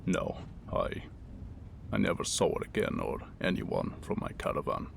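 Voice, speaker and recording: An extremely low, crackling-voiced wounded mercenary played by a man, murmuring intimately as in ASMR roleplay. He is guarded, brooding and wry with a tender streak, speaking in halting, old-world phrases while rain and thunder sound behind him. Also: very animated, exaggerated voice. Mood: guarded, secretive. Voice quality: deep, gravelly, breathy murmur. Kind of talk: ASMR roleplay acting, intimate second-person monologue. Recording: rain and thunder ambience noise.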